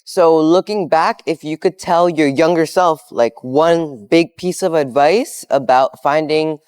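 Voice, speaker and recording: A man's voice: a little high, a little slow, darkish tone, smooth, no echo. A man hosting an interview, asking plain and direct questions.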